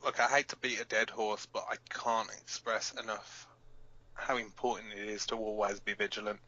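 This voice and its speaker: A man playing a gruff, battle-scarred resistance fighter co-hosting an underground radio broadcast in an audio drama, blunt, profane and halting. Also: on the low side, little pitch movement, sharp tone. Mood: grave. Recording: dull lo-fi sound.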